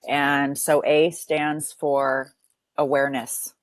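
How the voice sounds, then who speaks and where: A woman's voice: low-pitched, little pitch movement, slow, dry recording. A woman counselor speaking as a podcast guest, earnest and affirming, often repeating phrases as she builds a point. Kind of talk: podcast interview.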